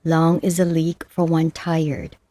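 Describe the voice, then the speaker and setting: Woman reading old teachings like an audiobook, really slow and measured, soft dark tone. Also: low voice, level tone, dry recording, clean studio sound. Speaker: audiobook narrator. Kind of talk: scripted narration of Buddhist verses and stories.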